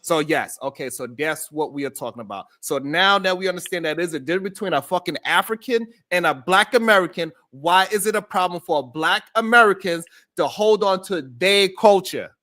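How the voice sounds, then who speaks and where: A man's voice: high-pitched, fairly quick, hardly any pauses, pushed and bright, no room sound, studio quality. A man hosting a live call-in talk show, who keeps breaking in to restore order and speaks bluntly with swearing. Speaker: host.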